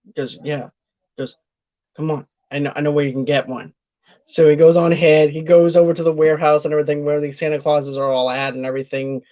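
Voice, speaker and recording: A man's voice: on the high side, steady tone, fast talker, darkish tone, dull audio. A man hosting a movie review podcast, chatting casually and retelling scenes in a rambling way with many restarts. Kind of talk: casual chat.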